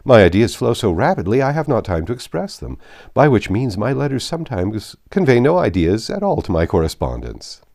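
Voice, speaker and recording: A man voicing a cheerful, easygoing, impulsive young gentleman in an audiobook of a classic novel, with an animated, good-humoured manner. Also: medium pitch, fairly quick, vocal fry, voice pushed up. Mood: teasing, cheerful.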